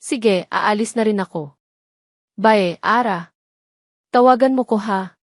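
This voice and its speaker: A woman's voice: medium pitch, slow pace, no room sound, clean studio sound. A woman voicing a friendly, sympathetic young woman in an audio story. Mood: light.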